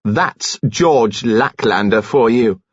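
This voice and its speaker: A man's voice: on the low side, expressive, slow pace, breathy edge, no echo, highs cut off, exaggerated voice. A man voicing a brazen, embittered, slangy woman in a rage, with scornful, emphatic delivery, as an audiobook character. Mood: bitter.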